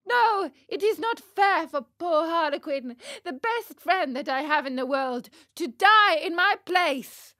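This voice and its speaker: A woman's voice: expressive, measured pace, few pauses, bright, forceful tone, no room sound, clean studio sound, heavily acted. A woman voicing a small wooden puppet boy in a children's storybook, piercing, plaintive and earnest, with stretched-out words. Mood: proud, brave. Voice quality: high, piercing.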